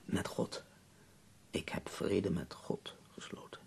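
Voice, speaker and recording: Sick old-world poet, very low voice, husky, sardonic, death-weary, theatrical pauses, radio drama. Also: male voice, steady tone, a little mellow, in a whisper, exaggerated voice. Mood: weary, melancholy. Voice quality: husky, gravelly. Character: ailing, bedridden male poet in Paris, wry and death-weary.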